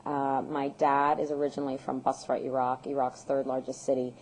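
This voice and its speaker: A woman in her thirties from the United States, answering interview questions in an earnest, measured way with frequent ums and uhs. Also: a bass voice, flat delivery, darkish tone, a little hiss or hum. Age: 30s. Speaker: guest, peace activist.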